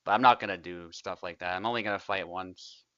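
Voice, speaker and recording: A man's voice: deep voice, a little fast, no echo, dull audio. A young man, casually commentating a tournament he is also playing in, chatty and laughing often. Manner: relaxed, chatty, laughs often, trails off quietly.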